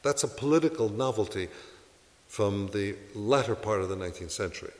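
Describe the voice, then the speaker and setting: Earnest low-voiced man preaching. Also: a little fast, long reverb tail. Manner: earnest, exhorting, asks rhetorical questions. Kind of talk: sermon to a congregation.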